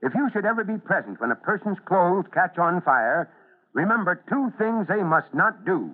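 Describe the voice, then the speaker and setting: A man's voice: high-pitched, very animated, moderate pace. An actor playing a brusque, authoritative, no-nonsense male fire chief in old-time radio drama style. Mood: earnest, instructive. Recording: tinny, hissy old radio recording.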